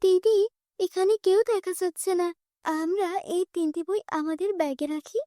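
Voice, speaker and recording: A woman's voice: very high-pitched, normal speed, mellow, no echo, studio quality. A woman voicing a small, innocent, plaintive orphaned younger sister in a childlike manner. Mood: curious.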